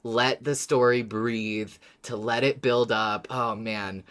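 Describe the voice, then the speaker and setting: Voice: mid-range voice, steady tone, slow, fairly bright tone. A podcast co-host chatting casually in an easygoing, agreeable way.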